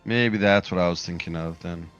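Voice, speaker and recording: A man's voice: low-pitched, moderate pace, clear, resonant tone, muffled recording, faint music behind. A man in his forties chatting and joking with a friend while they play a game together over voice chat. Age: 40s. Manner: joking, lively banter. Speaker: fellow player. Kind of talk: casual chat over voice call while gaming.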